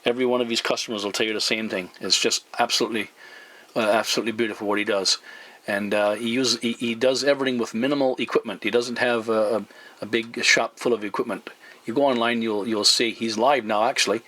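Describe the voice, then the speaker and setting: A man's voice: medium pitch, fairly even intonation, a little fast, fairly bright tone. Man chatting casually on CB radio. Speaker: CB radio operator, YouTube channel host.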